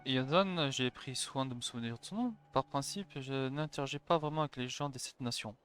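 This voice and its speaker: A man's voice: mid-range voice, quick pace, fairly bright tone. A French-speaking male streamer with a mid-range voice reads game dialogue aloud and drops in casual remarks. Faint music runs underneath. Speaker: livestreamer.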